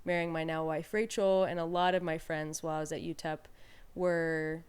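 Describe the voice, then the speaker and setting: Clear-voiced woman, candid and reflective. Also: deep voice, flat delivery, moderate pace. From Peru. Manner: candid, reflective, with frequent fillers and restarts. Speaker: interview guest. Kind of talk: casual conversational interview.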